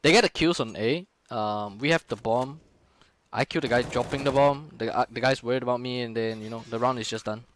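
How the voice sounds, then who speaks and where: A young man talks loosely through a game replay, swearing freely and dropping plenty of fillers. His voice sits at an ordinary middle pitch. The sound is dry and close, with no room around it. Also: dry recording. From Singapore. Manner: casual, frequent swearing and fillers. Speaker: streamer and in-game leader. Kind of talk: casual live-stream game analysis.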